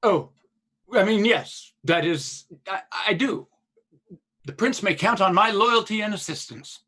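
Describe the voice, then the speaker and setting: A man's voice: high voice, animated, unhurried, bright, forceful tone, husky, heavily acted. An actor playing a nervous, obsequious sheriff in a radio play, flustered and stammering as he courts favor. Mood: fawning. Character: fawning, nervous male sheriff, greedy for favor.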